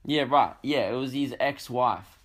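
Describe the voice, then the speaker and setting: Australian male podcast host, young, croaky, sweary comic rambler. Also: medium pitch, a little slow, fairly bright tone. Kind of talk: casual comedic monologue.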